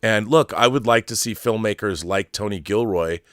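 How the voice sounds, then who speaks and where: Male livestream host, middle-aged, lowish voice, casual and opinionated fan talk with halting restarts, close dry sound. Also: fairly bright tone, no room sound. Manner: halting, rambling, repeats words while thinking. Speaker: host of a film fandom livestream. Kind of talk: casual commentary, answering viewer messages.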